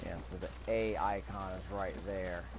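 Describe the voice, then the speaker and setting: A man's voice: low-pitched, steady tone, normal speed, noisy background. A man giving casual gameplay commentary, thinking aloud to himself as he plays. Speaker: gamer recording a gameplay video.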